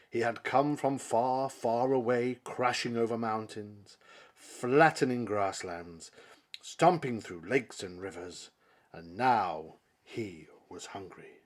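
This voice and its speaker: A male storyteller reads a picture book in a dramatic, theatrical style. His slightly husky voice rises and falls widely, and he takes his time, pausing for emphasis between phrases. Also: mid-range voice. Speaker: storybook reader. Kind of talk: dramatic children's story narration.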